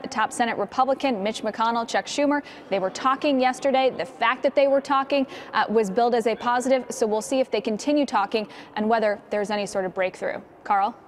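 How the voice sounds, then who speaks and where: A woman's voice: fairly high pitch, a little hiss or hum. An American woman reporting live as a television news correspondent.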